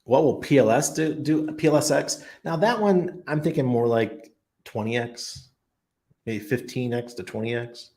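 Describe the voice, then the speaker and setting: A man's voice: mid-range voice, moderate pace, a little mellow. A man hosting a casual livestream and answering viewers' chat, rambling and thinking aloud with frequent ums and restarts. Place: expat living abroad.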